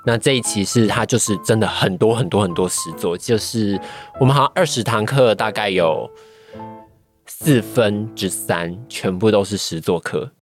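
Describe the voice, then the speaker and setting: A man's voice: on the low side, moderate pace, soft background music. A man with a Taiwanese Mandarin accent, reflecting in a podcast interview and often searching for his words. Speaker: guest, voice-acting trainee.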